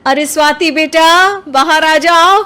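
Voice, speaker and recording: A woman's voice: high-pitched, takes it slowly, bright, forceful tone, full character voice. A woman voicing a persuasive, coaxing middle-aged mother in a Hindi cartoon. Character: coaxing middle-aged Indian mother, eager to see daughter married.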